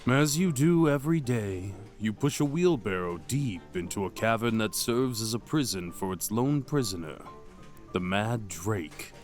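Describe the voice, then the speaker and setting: A man narrating a scripted fantasy story in a measured way, with dramatic pauses. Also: on the low side, expressive, darkish tone, soft background music. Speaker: narrator and voice performer.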